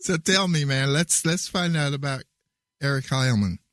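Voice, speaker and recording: A middle-aged man hosting a casual interview, asking friendly questions in a relaxed, unhurried way. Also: medium pitch, no room sound, clean studio sound. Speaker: podcast host. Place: Nashville.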